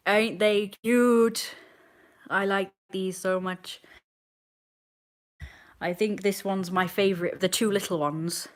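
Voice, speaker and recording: A woman's voice: medium pitch, steady tone, slow pace, pauses often. A woman in her twenties chatting casually on a live stream, rambling and answering viewers' comments as they come in. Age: 20s.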